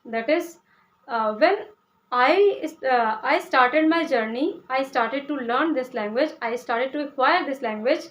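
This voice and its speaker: A young woman from Uttar Pradesh speaks English with a Hindi accent in a fairly high voice. She talks to her viewers in a hesitant, explanatory way, full of fillers and restarts, often checking in with a quick "okay?". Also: fairly bright tone. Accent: Indian (Hindi speaker). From India (Uttar Pradesh). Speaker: English-learning vlogger. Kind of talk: casual explanatory talk to camera.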